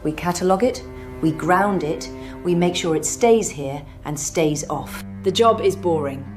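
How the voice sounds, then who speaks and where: A woman acting a brisk, low-voiced archive chief. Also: darkish tone, natural voice. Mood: brisk, wry. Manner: clipped, dry, commanding. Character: brisk, wry woman in charge of secret alien-artifact archive. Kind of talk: scripted audio drama acting. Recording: music under the voice.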